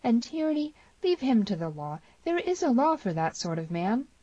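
Woman acting a passionate, high-pitched frontier girl. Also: sing-song, moderate pace, warm tone, no echo, dull audio. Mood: pleading. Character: bold, passionate young frontier woman, pleading and protective.

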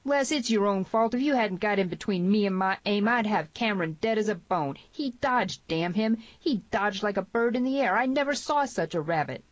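A woman's voice: mid-range voice, animated, normal speed, few pauses, no echo, muffled recording, voice pushed up, close to an everyday voice. A woman voicing a menacing, hot-tempered male gunman in a western audiobook. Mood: angry.

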